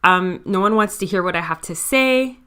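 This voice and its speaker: Bright, clear-voiced young woman podcaster. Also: mid-range voice, lively intonation, normal speed. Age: 20s. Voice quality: stutter. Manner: candid, confiding, talks straight to listeners. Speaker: podcast host. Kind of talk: casual personal monologue.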